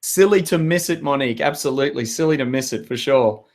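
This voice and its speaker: An Australian man in his thirties with a hoarse voice, hosting a live web show and chatting with viewers in an upbeat, rambling way. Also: mid-range voice, fast talker, a little mellow. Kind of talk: live webinar chat to viewers.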